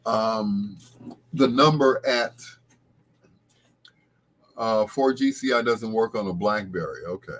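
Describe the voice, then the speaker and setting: A middle-aged American man with a rather low, husky voice talks as a late-night radio host. His delivery is casual and rambling, in the manner of someone reminiscing and telling stories to listeners. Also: takes it slowly, pauses often, a bit bright, rough recording.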